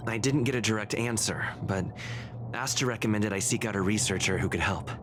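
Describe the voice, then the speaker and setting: An actor playing a composed, stubborn outsider in a video game, speaking in a measured, earnest and firm way. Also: male voice, fairly low pitch, background noise, natural voice. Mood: calm, explanatory. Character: composed, stubborn young male outsider defending himself. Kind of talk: scripted video game voice acting.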